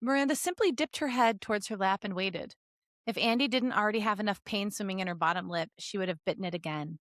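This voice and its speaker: A woman's voice: medium pitch, fast talker, a bit bright, no echo, studio quality. A woman podcast co-host reading fan fiction aloud in a giggly, joking way with casual asides.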